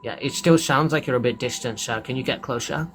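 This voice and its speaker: A man's voice: mid-range voice, vocal fry, slight background noise. A man hosting a livestream with callers, scolding the chat and defending himself. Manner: scolding, defensive, quick to complain. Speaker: livestream host.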